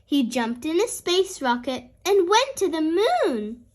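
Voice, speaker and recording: A woman voicing a kindly, patient mother pig who explains gently in a children's storybook read-aloud. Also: very high-pitched, big pitch swings, takes it slowly, pitched above the performer's own voice, heavily acted.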